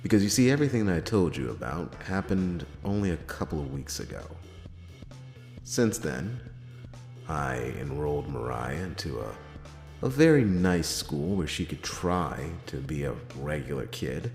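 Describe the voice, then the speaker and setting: A man's voice: very deep voice, big pitch swings, a little mellow, heavily acted. A man playing a world-weary, reluctant private detective in a hesitant, dramatic noir roleplay monologue. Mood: wry, resigned. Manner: hesitant, drawn-out phrasing with pauses and sighing chuckles. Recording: echoey long reverb, music behind.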